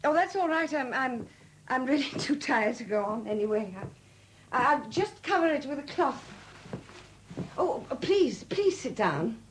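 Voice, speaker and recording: An actress in a 1970s radio drama playing a weary, flustered sculptress, newly widowed and proud, speaking candidly in hesitant, halting phrases. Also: female voice, high voice, animated. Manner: hesitant, halting, repeating words. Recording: noisy old 1970s radio recording.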